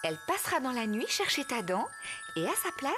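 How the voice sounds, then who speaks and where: Gentle, high-voiced cartoon mother pig. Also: very animated, brisk, over music. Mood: tender. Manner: soothing, patient explaining. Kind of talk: preschool cartoon acting.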